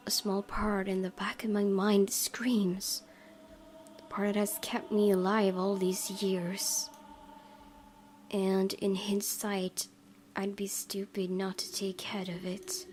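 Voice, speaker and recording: A woman's voice: mid-range voice, darkish tone, slight background noise. A woman streamer reading a visual novel aloud and adding casual, musing commentary. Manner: musing, hesitant asides. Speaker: let's play streamer. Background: faint game music.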